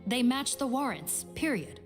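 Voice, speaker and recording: Cool game investigator, woman, sceptical, crisp, lively pitch movement, medium register, faint music. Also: normal speed. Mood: matter-of-fact. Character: cool, exacting adult female investigator. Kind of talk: video game dialogue acting.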